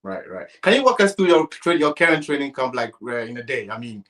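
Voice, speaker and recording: A man interviewing conversationally about sport, with a hesitant, halting way of working up to each question. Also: mid-range voice, big pitch swings, a bit bright.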